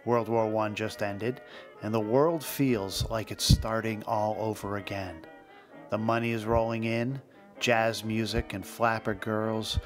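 Guy with a lowish voice reading a scripted podcast about history, music under him. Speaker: podcast host. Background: background music.

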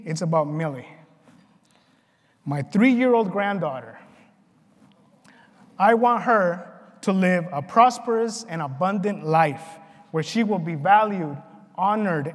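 A middle-aged man delivering a prepared conference keynote in an earnest, deliberate way with measured phrasing. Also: high voice. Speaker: keynote speaker. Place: large conference ballroom, on stage. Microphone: lapel mic at a podium. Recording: hall reverb from PA.

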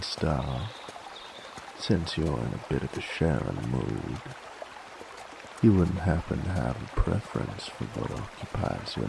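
Male actor as gruff werewolf cowboy, drawl, creaky growl, dominant, ASMR roleplay, clear trickling stream behind. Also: background noise, exaggerated voice. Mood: teasing, dominant. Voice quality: deep, gravelly. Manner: slow, teasing, folksy drawl. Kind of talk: ASMR audio roleplay, in-character monologue. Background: running water, outdoor nature ambience.

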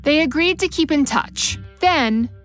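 High-voiced woman narrating a true story. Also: expressive, faint music behind. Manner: measured, storytelling delivery. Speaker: podcast narrator. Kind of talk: scripted narration.

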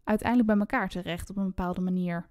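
A woman's voice: mid-range voice, steady tone, quick pace, darkish tone, no room sound, clean studio sound. A woman chatting casually about books as a podcast host, in a conversational, unscripted way. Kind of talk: casual book discussion.

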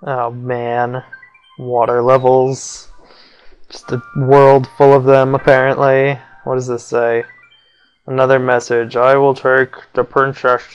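Wry young man, slow game commentary. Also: medium pitch, monotone, clear, resonant tone, muffled recording. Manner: wry, self-mocking, mutters asides. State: voice feels off, maybe getting sick. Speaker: let's play commentator. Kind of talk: casual gameplay commentary. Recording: faint game music behind.

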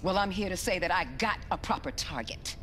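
An actress playing a tough, commanding American resistance leader in a video game, brash, slangy and profane. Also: female voice, lowish voice, fairly quick, a bit bright, long reverb tail, soft background music, close to an everyday voice. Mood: combative. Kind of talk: video game cutscene acting.

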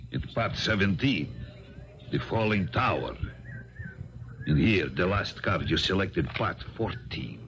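Radio play fortune-teller: very low, swooping delivery, proud, suspicious, ominous pronouncements. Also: male voice, vocal fry, heavily acted. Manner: slow, portentous, commanding. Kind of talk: 1970s radio drama acting. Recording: dull, noisy 1970s radio recording.

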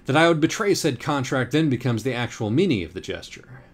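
A man reading scripted audiobook narration in a wry, deliberate, literary manner. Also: medium pitch, lively intonation. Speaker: audiobook reader.

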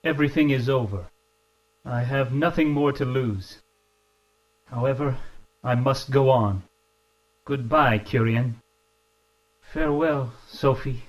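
Actor as a slow-spoken, anguished male game agent. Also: mid-range voice, natural voice, rough recording. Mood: resigned. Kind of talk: arcade game voice clips, stilted acting.

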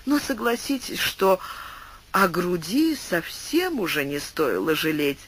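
Guy doing a high breathy coy young widow, flattering and pleading, storybook voice. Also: slow pace, a little hiss or hum, heavily acted.

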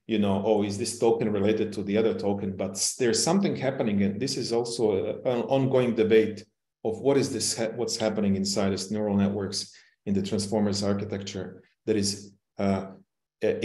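A man with a low, soft-edged mellow voice, speaking English as a second language. He explains technical points in a halting, word-searching way, as a webinar presenter on a roomy, echoing call. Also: steady tone. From non-English-speaking country, long resident in Canada. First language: not English. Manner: hesitant, halting, searching for words. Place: indoors, on a video call.

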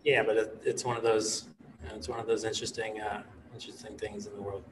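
A man in his thirties, an executive chef, speaking in a relaxed, rambling way on a video call from his living room. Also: lowish voice, quick pace, distant mic, a little hiss or hum, rough recording. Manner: relaxed, rambling, many "you know" and "like" fillers. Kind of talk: casual interview over video call. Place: living room with a stone fireplace.

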